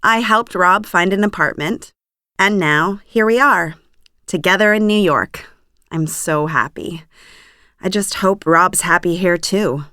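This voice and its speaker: A woman, playing a young magazine employee in a scripted language-course dialogue, chatty and lively. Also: lowish voice, measured pace, clear, resonant tone, no room sound, clean studio sound. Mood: cheerful.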